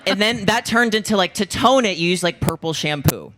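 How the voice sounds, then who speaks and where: A man's voice: sits high, animated, a little fast. A man in his thirties with an American accent, telling stories casually as co-host of a live podcast, often saying "like". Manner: conversational, peppered with "like". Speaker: podcast co-host and former competitive figure skater. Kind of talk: casual chat and storytelling at a live show. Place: live podcast show before an audience. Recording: noisy live-show audio.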